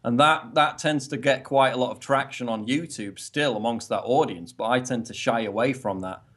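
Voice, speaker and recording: A man from northern England with a toned-down northern English accent, giving measured, explanatory interview answers with a wry edge. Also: mid-range voice, a bit bright, breathy edge. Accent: softened northern English. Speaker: guest commentator.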